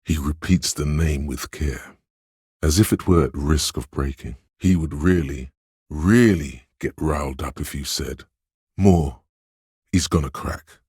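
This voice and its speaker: An actor voicing a sly, coaxing inner voice of a detective's mind in a video game, deliberate and theatrical, with a gravelly, husky tone. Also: male voice, rumbling low, a little mellow, dry recording, studio quality, heavily acted. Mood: urging, conspiratorial. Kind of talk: scripted game voice-over narration.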